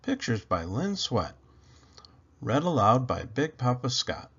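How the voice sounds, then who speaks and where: Slow, hugely animated male storybook reader. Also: mid-range voice, slightly rough, lo-fi sound. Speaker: children's book read-aloud narrator. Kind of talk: expressive storybook reading for children.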